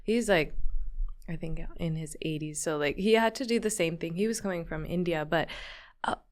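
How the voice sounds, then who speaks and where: A woman's voice: on the low side, moderate pace. A young woman hosting a podcast, asking curious questions in a chatty, reactive way. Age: young adult. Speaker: podcast host. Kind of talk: casual interview chat.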